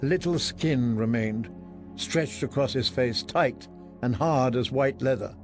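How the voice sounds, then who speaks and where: A man giving a dramatic, deliberate narration of fantasy fiction. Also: medium pitch, music in the background. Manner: slow, deliberate storytelling.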